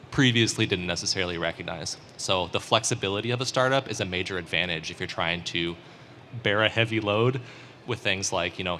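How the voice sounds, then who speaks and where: A man in his forties on a startup panel, speaking in an articulate, measured way. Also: deep voice, bright, forceful tone, slight background noise. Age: 40s. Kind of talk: conference panel discussion.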